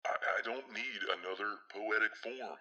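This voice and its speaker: Bossy big brother in an audio drama, deep but really sharp voice, blunt n dramatic. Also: male voice, normal speed, lo-fi sound. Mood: exasperated. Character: blunt, teasing, protective big brother with theatrical flair.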